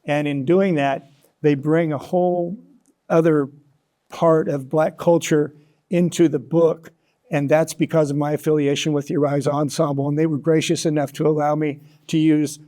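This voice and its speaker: A man answering as an interview guest, talking conversationally and haltingly, repeating words as he explains. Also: on the high side, little pitch movement, warm tone. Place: broadcast interview studio.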